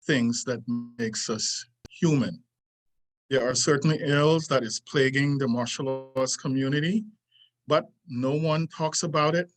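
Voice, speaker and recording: An older man reads his own essay aloud. His voice sits at an ordinary middle pitch, and his delivery is earnest and deliberate, with pauses and lines picked up again. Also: rough recording. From Trinidad. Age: 60s. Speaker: martial arts teacher. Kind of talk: reading a prepared essay aloud.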